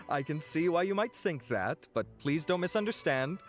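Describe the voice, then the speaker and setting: A man's voice: a little high, sing-song, a little slow, faint music behind. A man voicing a polite, proud perfume researcher and salesman in a video game, with courteous, flowery, formal speech. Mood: earnest, proud. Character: polite, proud male perfume researcher and seller, eager flatterer.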